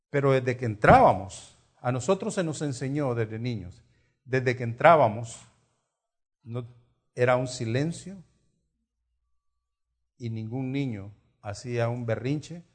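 A middle-aged man with a Salvadoran accent preaching a conversational sermon, teaching with rhetorical questions and repeated phrases. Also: medium pitch. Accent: Salvadoran Spanish.

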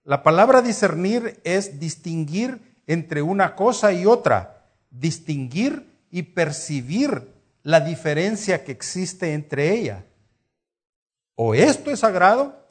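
A man's voice: fairly high pitch, very animated, slow pace. A middle-aged man with a Salvadoran accent preaching a conversational sermon, teaching with rhetorical questions and repeated phrases. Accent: Salvadoran Spanish.

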